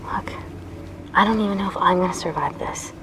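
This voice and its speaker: A woman's voice: lowish voice, brisk, a bit bright, slight background noise, natural voice, rough recording. A woman acting a conflicted, principled female scientist in a naturalistic interactive film drama. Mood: grave, reluctant.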